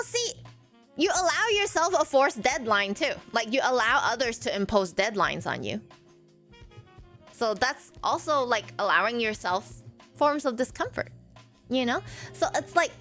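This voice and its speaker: Halting Malaysian-raised woman in her forties. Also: fairly high pitch, big pitch swings, fairly bright tone, soft background music. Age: 40s. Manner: halting, many fillers and restarts. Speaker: streamer. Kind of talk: live stream chat reading viewer comments.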